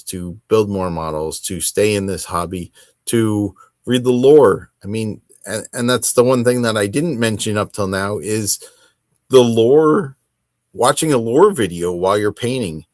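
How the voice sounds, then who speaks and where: A man's voice: fairly low pitch, dry recording. Dry-sounding man in casual, rambling solo chat. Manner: rambling, halting, self-deprecating. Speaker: host of a tabletop wargaming podcast.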